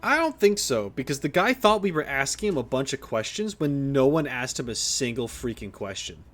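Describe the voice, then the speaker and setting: A man's voice: medium pitch, big pitch swings, moderate pace, fairly bright tone. A man giving casual, teasing and opinionated playthrough commentary as a game streamer. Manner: opinionated, teasing, self-deprecating. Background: game music.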